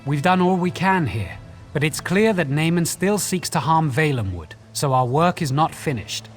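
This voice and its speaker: An actor playing an earnest, thoughtful companion on a fantasy quest in a video game, speaking in a measured way. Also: male voice, fairly high pitch, animated, close to an everyday voice. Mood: earnest, counselling. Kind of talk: video game character dialogue. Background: music.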